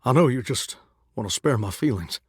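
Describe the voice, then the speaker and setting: An actor voicing a lovesick talking shower in a game, with a drawl and a folksy, earnest way of talking. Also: male voice, mid-range voice, animated, moderate pace, slightly rough, no echo, studio quality. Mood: dejected. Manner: folksy, earnest and sweetly corny. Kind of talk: video game character dialogue.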